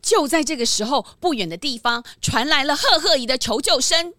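A woman's voice: sits high, animated, a little fast, over music. A woman narrator telling a children's detective story in a dramatic, scripted style.

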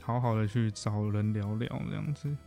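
A man's voice: fairly low pitch, normal speed, dark tone, faint music behind. A man with a Taiwanese Mandarin accent explaining things as a guest counselor, informal and somewhat hesitant, often repeating himself. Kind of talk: casual explanatory interview talk.

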